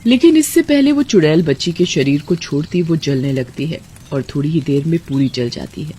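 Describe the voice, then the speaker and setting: A woman narrating a scripted story in an expressive storybook style. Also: sits low, normal speed, husky.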